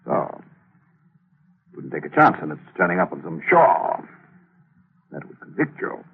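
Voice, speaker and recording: A man's voice: low voice, sing-song, a little slow, gravelly, slightly rough, heavily acted. An actor in an old-time radio thriller playing a sly, gloating gunman who taunts with folksy, mocking friendliness. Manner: folksy, taunting, leisurely menace. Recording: tinny narrow-band old radio recording.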